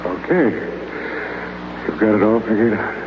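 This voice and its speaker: A man's voice: fairly low pitch, expressive, measured pace, background noise. An actor playing a world-weary old fat man who narrates his own story in a 1950s radio drama, hard-boiled and wry. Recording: muffled old radio broadcast with music.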